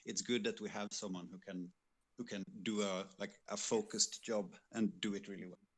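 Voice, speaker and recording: A man with a fairly low voice talks through his work on a livestream. He explains things in a measured, methodical way, as a programmer would. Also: steady tone, slightly rough. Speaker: game programmer on a development team.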